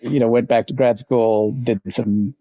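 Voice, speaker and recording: A man's voice: medium pitch, steady tone, a little slow, warm tone, no echo. A middle-aged man from Sri Lanka, a company founder telling his life story in a reflective, rambling way as an interview guest. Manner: reflective, rambling, with little laughs.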